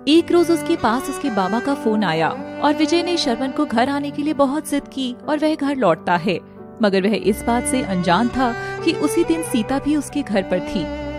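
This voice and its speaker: A woman's voice: high-pitched, expressive, unhurried, over music. A woman with an Indian accent narrating a scripted story.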